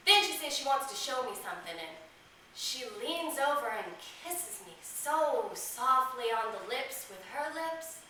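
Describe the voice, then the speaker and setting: A woman performing a dramatic stage monologue as a woman reliving girlhood memories, childlike and candid, with emphatic delivery, drawn-out words and pauses. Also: fairly high pitch, big pitch swings, sharp tone, a little hiss or hum. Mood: smitten, hushed. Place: small theatre stage. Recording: echoey theatre, recorded from a distance.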